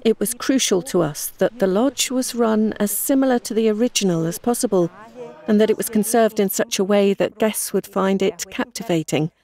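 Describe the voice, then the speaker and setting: An older woman who runs a restaurant speaks in a measured, reflective way. She is recorded on a lapel mic in a wood-panelled dining room. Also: medium pitch, mellow. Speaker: restaurant owner. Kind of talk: interview. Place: indoor wooden restaurant.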